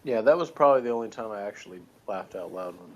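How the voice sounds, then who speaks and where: A man's voice: on the low side, lively intonation, quick pace, darkish tone. A man giving his opinions in a casual review chat, informal and hesitant, often interrupting himself, on a lo-fi recording. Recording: dull, lo-fi voice recording.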